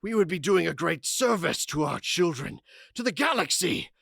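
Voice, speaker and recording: A man's voice: a little high, lively intonation, normal speed, gravelly, fairly bright tone, breathy edge, no room sound, studio quality, heavily acted. A man acting a proud, defiant vampire clan leader in an audio drama, speaking in a haughty, impassioned way. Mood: proud conviction. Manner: haughty, impassioned, theatrical delivery.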